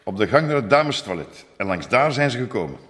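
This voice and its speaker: An actor with a Flemish accent playing a formal, measured, somewhat evasive museum curator in an audio drama. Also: male voice, medium pitch, sing-song, fairly bright tone. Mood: earnest. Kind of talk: naturalistic audio drama acting. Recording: echoing hall, background noise.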